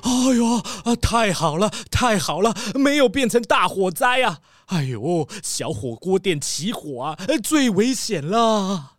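A flustered, dutiful town official in a children's radio play. He stammers and frets in a very animated voice, over faint music. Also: sits high, unhurried, hardly any pauses, no echo, clean studio sound. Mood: relieved. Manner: fussy, stammering, exclaims "aiyo-yo". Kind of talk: children's audio drama acting.

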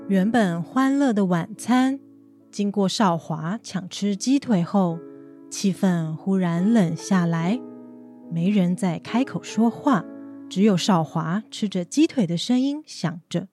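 A woman narrating a family radio drama in a calm, measured way. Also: medium pitch, soft background music. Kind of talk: scripted storytelling narration.

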